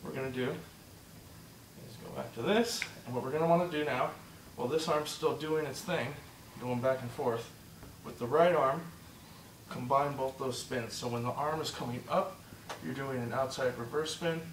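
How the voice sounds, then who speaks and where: A man teaching a movement drill step by step, encouraging and repeating himself, slightly hoarse and short of breath. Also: mid-range voice, normal speed, reverberant, recorded from a distance, a little hiss or hum, rough recording. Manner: encouraging, repeats "just like that" and "good". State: losing his voice, a bit out of breath. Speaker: instructor. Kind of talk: step-by-step demonstration lesson.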